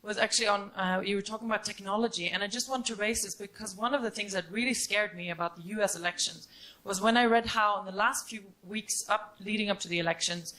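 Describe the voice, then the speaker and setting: A woman's voice: mid-range voice, steady tone, fast, bright, forceful tone, low-quality recording. A woman in her twenties from Norway, a student audience member giving a candid, unscripted comment and question at a debate.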